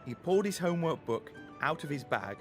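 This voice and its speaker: A man's voice: fairly high pitch, very animated, fairly quick, soft background music. A man narrating a children's story dramatically from a script.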